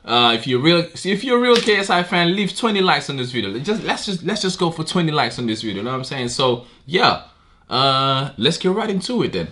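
A man's voice: a little high, sing-song, brisk, pushed and bright, a little hiss or hum. A young man in his twenties giving casual reaction commentary from his bedroom, talking loosely with slang, bursting into laughs and exclamations. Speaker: music video reactor. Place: bedroom with wardrobe, indoors. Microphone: webcam with headphones on. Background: music video playing.

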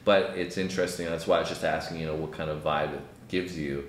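Man, 30s, reaction co-host; deep, unhurried, thinking aloud; echoey room, light hum. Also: steady tone. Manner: thoughtful, hedging with "you know". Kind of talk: casual music reaction chat. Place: home room, seated in gaming chair. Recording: roomy echo, faint hum.

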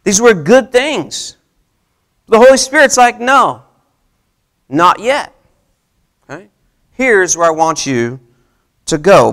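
A pastor in his thirties preaches in a church hall, talking to his congregation like a friend. His voice sits high and leaps up and down as he works up to each point, and he keeps throwing questions at the room for them to answer. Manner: animated, conversational preaching with audience questions. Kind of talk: sermon. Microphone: handheld mic, far camera.

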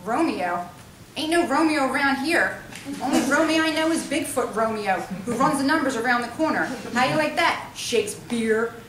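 A woman voicing a loud, teasing working-class young man of twenty-two, reading him in a storybook with a blustering, slangy manner. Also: high voice, expressive, moderate pace, voice pushed up. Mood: defiant. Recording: roomy, distant, faintly noisy.

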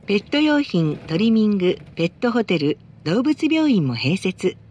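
A woman's voice: medium pitch, very animated, moderate pace, darkish tone, dull audio. A woman reading scripted advertising announcements for a bus, politely and formally.

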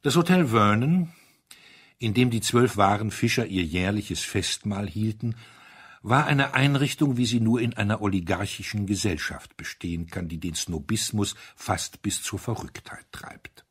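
A man reading a literary story aloud as scripted narration, in a measured way with dry irony. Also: fairly low pitch, slightly rough. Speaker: audiobook reader.

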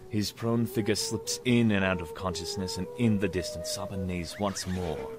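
A man narrates an audio drama in a very low voice. His storytelling is literary and dramatic, with music clearly playing behind him. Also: moderate pace.

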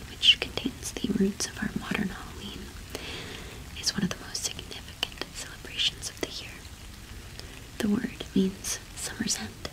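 Young female ASMR host: whispered, very even delivery, soft and gentle roleplay explaining. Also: mid-range voice, rough recording. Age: young adult.

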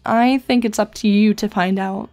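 A woman voicing a dry, precocious teenage girl in a web comic dub, speaking in a measured, formal, deadpan way. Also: mid-range voice, faint music behind, natural voice. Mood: matter-of-fact.

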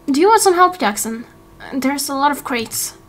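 A woman voicing an uneasy young man turned angel in a game roleplay, hesitant and halting. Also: high voice, expressive. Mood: awkward. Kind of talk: Minecraft roleplay voice acting.

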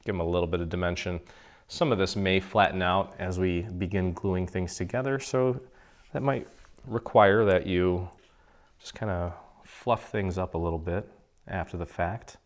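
A man with a slightly hoarse voice from a cold, walking through a paper craft project in a relaxed, chatty way. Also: sits low, normal speed. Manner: relaxed, chatty, clears his throat now and then. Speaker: craft tutorial host. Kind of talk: casual step-by-step crafting instruction. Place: indoor craft table.

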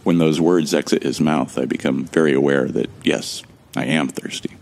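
A man with an extremely deep voice narrates a sci-fi audio drama in the first person. His tone is wry and casual, with jokes and the odd swear word, and a gravelly fry runs through his speech. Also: steady tone, normal speed, darkish tone. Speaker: narrator and protagonist.

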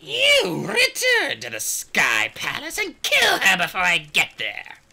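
A man's voice: high voice, wildly swooping pitch, slow pace, vocal fry, sharp tone, voice pushed up, full character voice. A man reading aloud and voicing a cruel, scheming female dragon queen who snarls, hisses and mocks. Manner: hissing, snarling, mocking.

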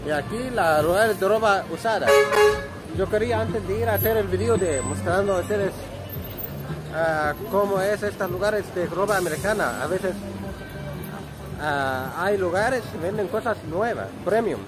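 A man's voice: sits high, moderate pace, low-quality recording. A man in his thirties from Egypt with an Egyptian Arabic accent, chatting loosely to a handheld phone camera as he walks through an outdoor street market, speaking non-native Spanish with frequent restarts. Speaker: vlogger. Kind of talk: walking vlog to camera. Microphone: handheld phone at arm's length. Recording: loud street crowd and music behind. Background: market crowd, traffic, music.

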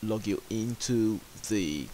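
A man with a very deep voice presents a step-by-step tech tutorial. He speaks in a plain, instructional manner with some hesitation. The voice-over is a home recording with a faint hiss running under it. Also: slow, warm tone, poor audio. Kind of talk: step-by-step screen tutorial narration. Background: clear background hiss.

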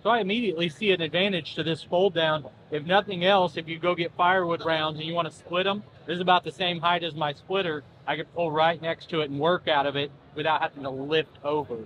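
A man's voice: fairly high pitch, steady tone, a little fast, hardly any pauses, noisy background. A man in his thirties from Southeast Kansas, hosting a casual walkaround chat about equipment in a large indoor expo hall. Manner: easygoing, plainspoken, enthusiastic. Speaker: farm equipment channel host. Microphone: handheld camera close up.

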